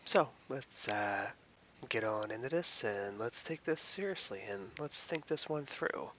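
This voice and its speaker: Man, casual commentary host, rambling, filler-heavy, bright edgy tone, muffled recording, faint hiss. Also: on the low side, a little slow. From Philadelphia. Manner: rambling, relaxed, many fillers, slips into soft whispers. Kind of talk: casual commentary reading an online post.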